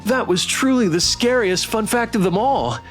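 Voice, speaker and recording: A man's voice: high voice, expressive, normal speed, faint music behind, voice pushed up. A man voicing a showy, teasing host of a monster dating game show in a visual novel.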